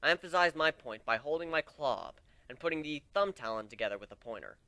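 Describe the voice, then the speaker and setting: A man reads a story aloud in a bright, forceful voice. His delivery is theatrical and very animated, swinging in pitch as he narrates in the first person. The sound is dry and close. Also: fast, no room sound. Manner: theatrical, expressive. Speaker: amateur reader of fan fiction.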